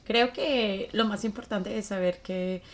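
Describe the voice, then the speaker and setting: A woman's voice: mid-range voice, fairly even intonation, measured pace. Colombian woman co-hosting a podcast. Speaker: podcast co-host. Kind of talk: casual podcast conversation.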